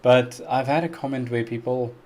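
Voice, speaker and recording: A man's voice: mid-range voice, steady tone, moderate pace, a little mellow. A South African man hosting a casual live-stream Q&A, chatty and earnest, who laughs often and pronounces his words very carefully. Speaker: music reaction YouTuber hosting a live stream.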